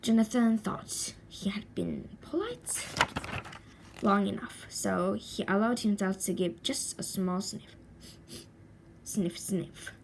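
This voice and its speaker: A woman reading a children's story aloud expressively, with deliberate dramatic pauses and stretched words. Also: medium pitch. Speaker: storybook reader. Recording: faint background noise.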